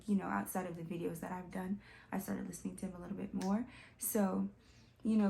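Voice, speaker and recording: Low-energy gravelly woman chatting. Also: mellow, slight background noise. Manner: informal, addresses viewers as y'all. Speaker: host of music reaction videos. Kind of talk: casual chatty commentary.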